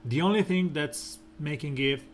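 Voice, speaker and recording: A man streaming a mobile game, talking through team strategy in a relaxed, rambling way with lots of fillers and a soft, smooth voice. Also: faint music behind. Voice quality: soft, smooth and deep when slow. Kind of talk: casual gameplay commentary.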